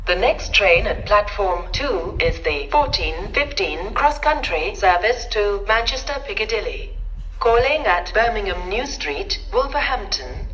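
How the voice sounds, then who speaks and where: A woman's voice: mid-range voice, fairly bright tone. A woman station announcer reading automated announcements in formal, segmented phrases over a public address speaker. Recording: distant, dull, echoing station PA recording.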